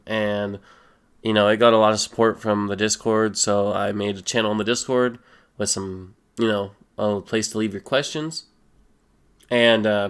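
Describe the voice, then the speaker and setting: A young man with a stuffy, slightly sick voice, casually answering viewer questions as a gaming YouTuber, relaxed and full of fillers. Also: lowish voice. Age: young adult. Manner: relaxed, rambling, many fillers. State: stuffy nose, a little sick. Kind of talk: casual Q and A voice-over.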